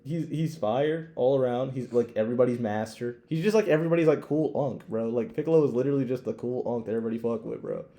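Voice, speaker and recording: A man's voice: medium pitch, quick pace, hardly any pauses, warm tone. A man co-hosting a podcast in casual, slangy, sweary talk, often restarting and leaning on filler words. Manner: informal, hesitant phrasing peppered with "like". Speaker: podcast co-host. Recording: roomy, echoey sound.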